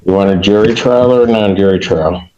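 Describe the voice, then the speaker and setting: A man's voice: fairly low pitch, a little fast, darkish tone. A man speaking as a judge in court, putting procedural questions and rulings formally and insistently. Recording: dull, noisy courtroom microphone audio.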